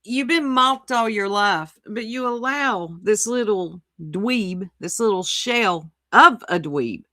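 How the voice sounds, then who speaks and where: A woman's voice: medium pitch, lively intonation, takes it slowly, bright, forceful tone, no room sound, clean studio sound. A woman hosting a recap, commenting on a clip in a teasing, mocking way. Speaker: commentary host.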